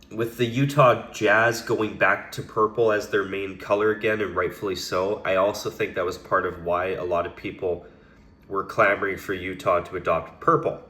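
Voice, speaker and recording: A man's voice: on the low side, pushed and bright, echoey room. A man giving casual, conversational opinion commentary as a hockey vlogger, hedging now and then with fillers.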